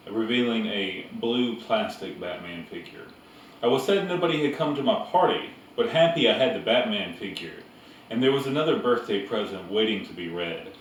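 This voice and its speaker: In a very echoey room, a man reads a novel aloud in a fairly low voice. He narrates with deliberate phrasing and pauses, recorded at a distance from the mic. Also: slight background noise. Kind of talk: storybook read-aloud narration.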